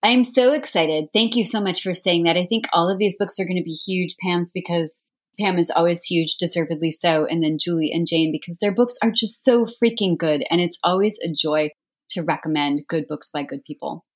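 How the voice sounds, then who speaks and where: A woman with a slightly low voice talks as a guest author in a casual interview. She is effusive and emphatic as she recommends books. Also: moderate pace.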